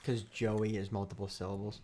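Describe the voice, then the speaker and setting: A man hosting a podcast in casual chat, playfully argumentative and animated, talking into a studio mic. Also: fairly low pitch, a little fast, noisy background. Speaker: podcast host.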